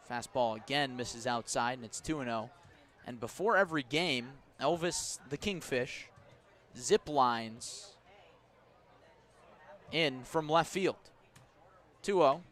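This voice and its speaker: A man doing live baseball radio play-by-play, with a measured delivery that lifts on action calls and descriptive asides between pitches. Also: a bit bright. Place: outdoor ballpark broadcast position above the stands.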